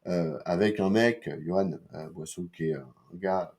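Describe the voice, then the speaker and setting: A man from France talks through comics in a loose, rambling way, tossing in slang and swear words between fillers. His voice sits on the low side. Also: a little slow, a little mellow. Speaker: comics reviewer. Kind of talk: casual crude-talking comics review.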